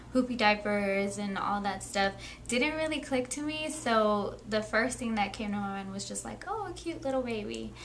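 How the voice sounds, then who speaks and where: A woman's voice: medium pitch, a bit bright, a little hiss or hum. A 21-year-old woman speaking earnestly and plainly as a young mother sharing her story. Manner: earnest, plain-spoken, reflective. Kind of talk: candid personal testimony.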